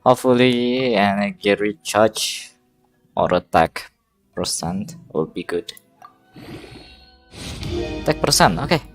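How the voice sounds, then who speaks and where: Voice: sits low, animated, slow, long pauses. A game streamer chatting casually and hesitantly, muttering to themselves during a live stream. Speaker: game livestreamer. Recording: music playing behind the voice. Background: game music.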